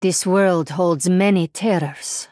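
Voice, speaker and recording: A woman voicing a solemn, devout alien priestess of the light for a video game, formal and measured in her phrasing. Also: fairly low pitch, darkish tone, no echo, clean studio sound. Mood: subdued, grave. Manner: formal, measured, deliberate phrasing without contractions. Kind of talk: video game character voice lines.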